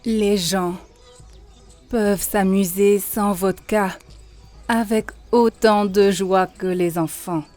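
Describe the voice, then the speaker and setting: A woman playing a calm, wise leader of ancient pagan rites in a cartoon, speaking in a solemn, instructive way. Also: mid-range voice, slow, faint music behind, lightly acted. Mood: earnest, instructive. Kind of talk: cartoon dialogue, French dub.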